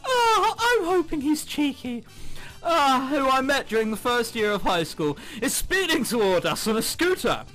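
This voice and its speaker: A man doing let's play commentary, reading visual novel text aloud in an excitable, giggly way with exaggerated reactions. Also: very high-pitched, moderate pace, poor audio. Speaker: video game commentator. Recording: background music under the voice. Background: game music.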